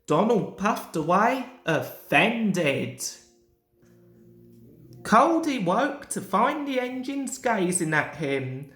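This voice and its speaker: A woman reads a children's storybook aloud at a very slow, deliberate pace. Her delivery is expressive and moves up and down widely. The recording is roomy and echoing. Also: medium pitch. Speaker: story reader.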